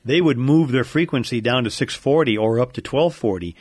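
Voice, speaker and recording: A man's voice: mid-range voice, brisk, darkish tone, slightly rough, dry recording. A man with an American accent explaining technical matters informally, like a knowledgeable regular guest on a radio-themed podcast.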